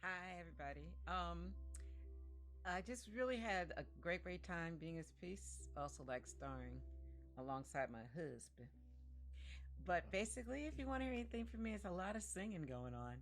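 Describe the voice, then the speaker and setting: A woman's voice: on the low side, lively intonation, moderate pace, soft background music. A woman singer and voice actor casually thanking listeners and plugging her work.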